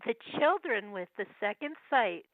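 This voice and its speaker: A woman with a cold, her voice going, speaking as a guest over the phone and reading old verses slowly between chatty asides. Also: medium pitch, animated, no echo. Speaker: guest researcher. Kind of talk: phone interview, reading prophecy verses aloud. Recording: tinny phone line.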